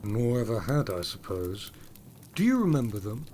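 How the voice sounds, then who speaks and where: An actor playing an imperious, abrupt gentleman of a gothic manor in a period audio drama, firing probing questions. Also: on the low side, very animated, moderate pace, slight background noise. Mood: sardonic, probing. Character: brusque, commanding gentleman master of a gothic manor.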